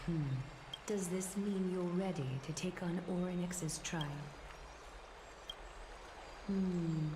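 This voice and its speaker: A woman voicing a composed, authoritative guide in a fantasy game, speaking in a formal, measured, grave manner. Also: fairly low pitch, fairly even intonation, roomy sound, background noise. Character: solemn, stately female guide of destined heroes, composed and authoritative. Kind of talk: fantasy video game acting.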